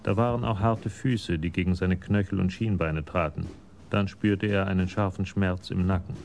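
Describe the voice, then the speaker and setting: A sober male storyteller narrating a radio crime drama. His voice is deep and creaky, detached in manner, with faint noise behind it. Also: fairly even intonation, moderate pace, a little mellow.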